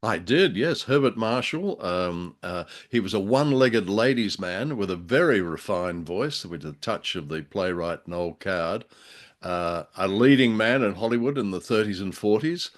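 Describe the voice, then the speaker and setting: Australian man, low register, genteel and discursive co-host, picking through words in casual discussion. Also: animated, moderate pace. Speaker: co-host of a radio drama review show. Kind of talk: casual discussion of old radio drama.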